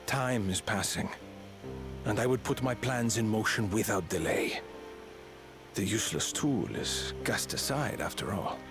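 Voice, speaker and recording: An unhurried, swooping male schemer from a video game. He is oily and grandiose, flattering his master while coldly ambitious, with music playing underneath. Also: lowish voice. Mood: quietly sinister. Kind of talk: video game cutscene acting.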